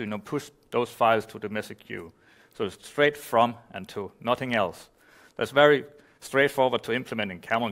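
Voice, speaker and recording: Guy presenting at a conference, lowish voice, easygoing, lots of "you know". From Denmark. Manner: relaxed, explanatory, many "you know" fillers. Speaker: conference speaker. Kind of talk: technical conference talk. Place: conference room.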